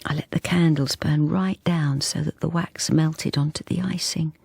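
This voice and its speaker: Husky, hesitant British wife. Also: female voice, low voice, fairly even intonation, moderate pace, a little mellow, dry recording, exaggerated voice. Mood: wistful confiding. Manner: hesitant, apologetic, plain-spoken. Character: timid, self-effacing, lonely wife confiding in the listener. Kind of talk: radio drama with intimate first-person narration.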